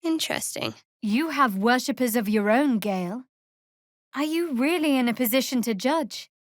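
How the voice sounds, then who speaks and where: A woman performs a young female cleric from a fantasy video game, guarded and sardonic. Her voice sits a little high, with a dry, measured delivery. The sound is very dry, free of room echo. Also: animated, lightly acted.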